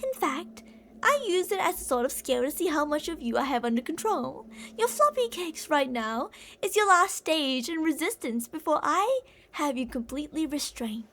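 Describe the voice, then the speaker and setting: Woman acting as obsessive older lady kidnapper, high swoopy voice, cooing and creepy sweet, asmr roleplay. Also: very animated, moderate pace, a bit bright, full character voice. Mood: menacing, teasing. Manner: cooing, possessive, theatrical, with pet names and whispered phrases. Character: obsessive older female kidnapper, doting yet menacing.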